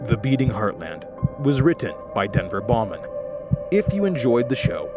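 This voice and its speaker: A man's voice: mid-range voice, big pitch swings, normal speed, loud music behind the voice. A man reading scripted end credits as an announcer. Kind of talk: scripted narration.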